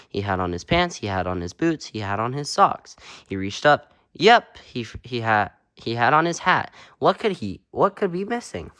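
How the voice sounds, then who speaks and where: A man's voice: mid-range voice, fairly bright tone, clear, resonant tone, no room sound, clean studio sound. A man reading a children's picture book aloud with playful, sing-song emphasis and punchy sound-effect words. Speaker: read-aloud storyteller.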